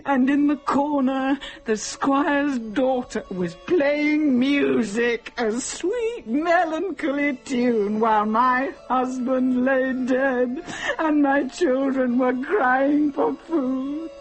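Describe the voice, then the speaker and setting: An actress playing a starving, embittered village widow and mother near death in an audio drama, speaking mournfully and solemnly with a husky voice. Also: sits high, slow pace, soft background music, low-quality recording. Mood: desperate.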